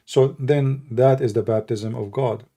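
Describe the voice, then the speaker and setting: A middle-aged man with a gravelly, dark-toned voice and an Arabic accent. He speaks casually, pausing often with fillers and restarting his sentences. His opinions come out blunt and unhedged. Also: mid-range voice, steady tone. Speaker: livestream debate host. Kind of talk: casual unscripted talk answering questions.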